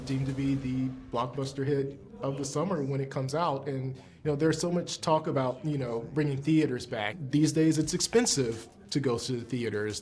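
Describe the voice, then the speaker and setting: A man's voice: medium pitch, darkish tone. A man interviewing actors, asking friendly, complimentary questions. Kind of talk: entertainment press interview.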